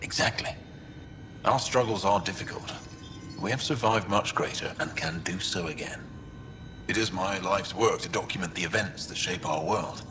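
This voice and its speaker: Man acting as alien scholar, low voice, formal, eloquent, courteous, video game, noisy background. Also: normal speed, lightly acted. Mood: courteous, grave. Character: learned, courteous male alien scholar and chronicler.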